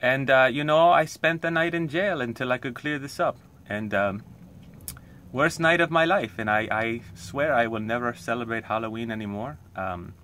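A man telling a comic story in an earnest, rambling way, pausing often. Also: medium pitch, fairly bright tone, slight background noise. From Poland. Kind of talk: comic monologue.

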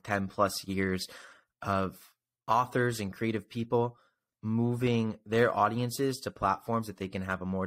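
A man's voice: fairly low pitch, fairly bright tone. A young man hosting a casual podcast interview, speaking close to a desk microphone. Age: young adult. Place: indoor room, on a video call. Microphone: desk microphone close in front.

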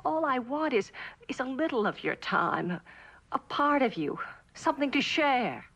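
An actress playing a bitter, neglected wife, plaintive and accusing, in mid-century TV drama style. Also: female voice, high voice, sing-song, a little slow, a bit bright, heavily acted. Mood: hurt, bitter. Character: neglected, bitter young wife, wounded and reproachful. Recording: dull, faintly noisy old television soundtrack.

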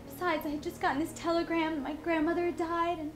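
A woman's voice: extremely high pitch, flat delivery, fast talker, music in the background. A woman playing a desperate, pleading ex-mime. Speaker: actor.

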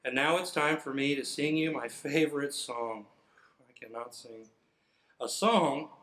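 A man's voice: medium pitch, unhurried, a bit bright. A middle-aged man reading a children's book aloud in a theatrical, playfully exaggerated manner. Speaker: firefighter. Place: indoors in front of a fire truck. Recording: roomy, echoing sound.

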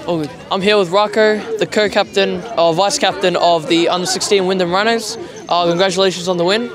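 A man's voice: high-pitched, steady tone, brisk. A teenage boy interviewing players on a sports field with a handheld mic, polite and hesitant with filler words. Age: teens. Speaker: sideline interviewer. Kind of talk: post-game interviews. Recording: crowd noise outdoors. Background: crowd chatter.

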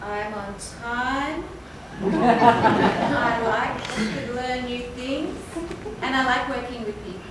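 Woman acting nervous friendly job seeker on stage, high slow voice, far from mic in echoey theatre. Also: over a noisy crowd. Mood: earnest, friendly. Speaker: stage actor. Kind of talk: live amateur stage play dialogue. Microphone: far camera.

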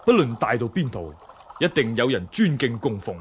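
An actor playing a lordly, generous Chinese emperor in a radio drama, with a stately, commanding manner. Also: male voice, mid-range voice, very animated, normal speed, slight background noise, lightly acted. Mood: generous, insistent. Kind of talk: Cantonese radio drama acting.

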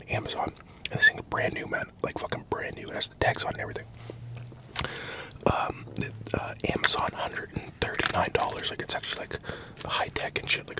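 A man in his thirties, an ASMR creator, whispering a loose, profane eating chat into a desk mic inside a parked pickup truck. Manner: whispering, loose and profane, chewing between remarks. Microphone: large desktop mic in the cab, GoPro camera.

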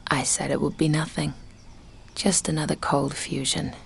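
A woman's voice: sits low, little pitch movement, moderate pace, creaky, slight background noise. A stranded, anxious young woman. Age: young adult. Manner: wry, talking to herself. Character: young woman stranded on a dinosaur island, frightened. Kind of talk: game dialogue and narration.

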